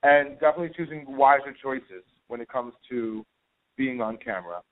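A man's voice: medium pitch, animated. A young man, an acting student, calling in to a radio show and talking casually, often stumbling and repeating words. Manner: halting, repeats himself. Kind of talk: casual phone interview. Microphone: telephone. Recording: tinny phone line.

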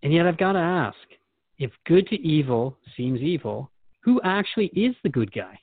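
A man's voice: fairly high pitch, very animated, normal speed, dry recording, phone-quality sound. A man playing a curious, earnest seeker questioning a spiritual guide in a conversational scripted dialogue. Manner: inquisitive, conversational.

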